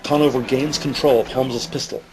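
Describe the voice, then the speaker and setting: A man narrating a scripted audio drama in a measured, dramatic manner. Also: mid-range voice, fairly even intonation, a little mellow, slight background noise, low-quality recording.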